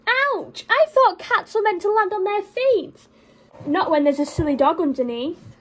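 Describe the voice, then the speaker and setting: Storybook dog, played by a woman: high, exclaiming, stubborn and indignant, soft at heart. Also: measured pace.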